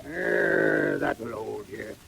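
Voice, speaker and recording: An actor playing a surly, brutish criminal with gruff, snarling speech in a 1940s audio drama. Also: male voice, lowish voice, lively intonation, very slow, fairly bright tone, heavily acted. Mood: surly, suspicious. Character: Bill Sykes, brutish, surly male criminal. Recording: noisy scratchy 1940s record.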